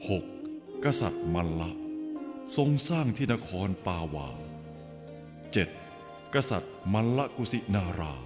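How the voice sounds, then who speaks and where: A man giving scripted, formal narration for an animated film, telling the story in an expressive way. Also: fairly low pitch, a little slow, long pauses, long reverb tail, over music.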